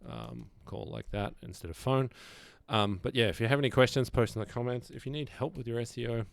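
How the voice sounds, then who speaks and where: A man's voice: mid-range voice, animated, a little fast, creaky, no echo. A man presenting an informal screen-share tutorial, explaining things casually and thinking aloud as he goes.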